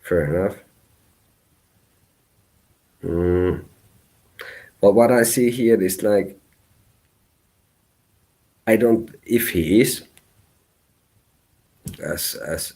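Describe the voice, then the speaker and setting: Slow, deep-voiced Finnish man reading tarot. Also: dark tone. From Finland. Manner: halting, searching for words. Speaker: tarot reader. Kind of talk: live-stream tarot reading.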